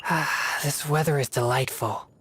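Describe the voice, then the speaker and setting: A woman's voice: low-pitched, steady tone, a bit bright, husky, dry recording, full character voice. A woman voicing an earnest, disciplined young male exorcist in a video game, speaking formally and solemnly but easily flustered. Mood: solemn, composed. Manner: formal, measured, earnest diction. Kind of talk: scripted video game character voice lines. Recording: music behind the voice.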